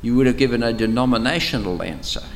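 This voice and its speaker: A man's voice: medium pitch, fast, slight background noise. An older man with an Australian accent and a powerful voice, leading an interactive Bible study at a church camp in a chatty, teacherly way with frequent hesitations. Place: church camp meeting room. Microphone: microphone, sometimes moves away from it.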